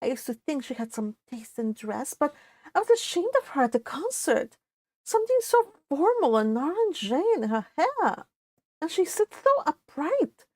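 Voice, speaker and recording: A vain young Regency society lady, as a woman reader puts her on, lifted high in pitch. She is bored and disdainful, gossiping in a haughty, dismissive way. The storybook reading has a very dry sound. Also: very animated, normal speed, darkish tone, dry recording. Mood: catty disdain.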